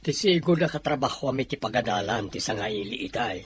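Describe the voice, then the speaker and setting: A woman's voice: extremely low pitch, animated, brisk. A woman playing a humble, grateful worker in a dramatized audio story. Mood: matter-of-fact. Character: humble, grateful city worker leaving for province.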